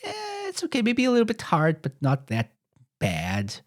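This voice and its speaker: A man's voice: high-pitched, huge pitch swings, normal speed. Man hosting a casual chat. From Portugal. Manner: rambling, self-correcting storyteller. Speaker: podcast host.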